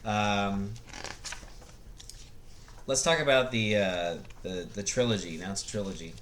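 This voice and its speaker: A man giving casual, unscripted movie commentary as a video host, often halting to search for words. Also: fairly low pitch, fairly bright tone. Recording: echoey room, faint background noise.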